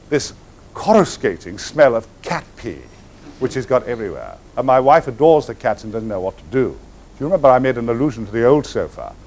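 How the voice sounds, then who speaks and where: A man telling comic stories to a live audience in grand, florid words with sharp comic timing. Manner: grand, florid wording with comic timing and sudden swings in pitch. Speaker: actor telling stories on stage. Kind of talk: theatrical anecdote to a live audience. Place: stage before an audience.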